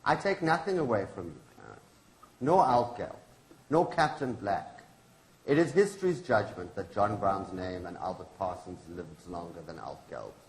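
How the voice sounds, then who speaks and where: Man reading as solemn widow, low, echoey. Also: expressive, normal speed. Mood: challenging. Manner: solemn, accusing, rhetorical. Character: grieving, defiant widow of a hanged labor activist. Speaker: professor reading a play. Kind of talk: staged dramatic reading. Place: indoor launch event venue. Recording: echoey room.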